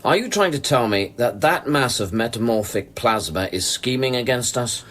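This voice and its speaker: An actor in a radio play, playing a sober, skeptical psychologist on a space mission who questions in an insistent, probing way. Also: male voice, mid-range voice, lively intonation, normal speed, fairly bright tone, lightly acted. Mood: incredulous. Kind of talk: dramatic radio play acting.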